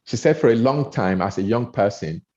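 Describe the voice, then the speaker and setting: A man's voice: medium pitch, animated, normal speed, warm tone. A man with a Nigerian accent coaching on public speaking, earnest, often repeating words as he builds his points. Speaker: speaker trainer. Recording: dull lo-fi audio.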